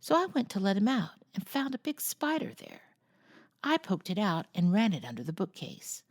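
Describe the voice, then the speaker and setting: A woman's voice: mid-range voice, huge pitch swings, normal speed, no echo, clean studio sound. A woman voicing an earnest, self-important young girl in a storybook, speaking primly. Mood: prim, chatty. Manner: prim, earnest.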